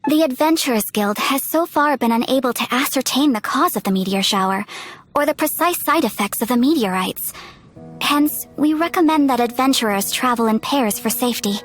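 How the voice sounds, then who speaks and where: Game guild receptionist, high clear-cut formal delivery, composed n professional, faint music. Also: female voice, expressive. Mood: cautioning. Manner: formal, measured, instructive. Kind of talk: video game voice acting.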